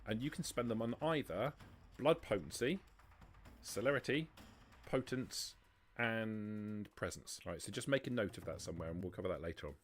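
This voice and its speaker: A man's voice: fairly low pitch, lively intonation, normal speed. A man running a tabletop roleplaying game as game master, patiently explaining rules and narrating scenes.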